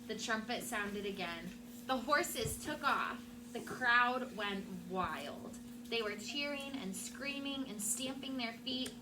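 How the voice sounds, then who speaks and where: A woman teacher doing an expressive storybook read-aloud for her class. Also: medium pitch. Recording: clear background room noise.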